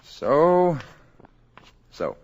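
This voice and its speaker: An actor playing a calm, kindly visitor from space who passes as a man, measured and courteous, in 1950s radio drama style. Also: fairly high pitch, muffled recording, exaggerated voice. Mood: quietly assured. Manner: measured, courteous, quietly authoritative.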